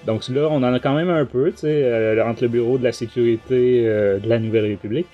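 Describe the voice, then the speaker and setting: A man's voice: medium pitch, fairly even intonation, quick pace, narrow, tinny audio, soft background music. A man with a Quebec French accent hosting a casual book review podcast, talking in a hesitant way. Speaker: podcast host.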